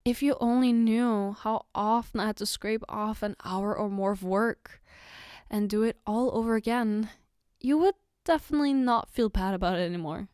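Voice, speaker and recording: A woman's voice: mid-range voice, normal speed, smooth, dry recording, studio quality, lightly acted. A woman playing a loving, reassuring and playfully teasing girlfriend in an intimate ASMR roleplay, with a tender, coaxing manner. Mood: gently teasing, caring. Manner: tender, coaxing, soft giggles between phrases. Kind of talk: ASMR roleplay, intimate one-sided dialogue.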